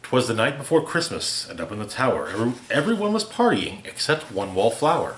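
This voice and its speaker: A man reading a rhyming holiday parody aloud as a storyteller, with theatrical, deliberate delivery. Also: mid-range voice, sing-song, a bit bright, a little hiss or hum. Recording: roomy, echoey home recording.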